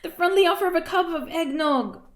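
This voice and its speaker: A woman's voice: high voice, very animated, normal speed, voice pushed up. A woman voicing an easily offended vegan dinner guest in a storybook, with pointed indignation.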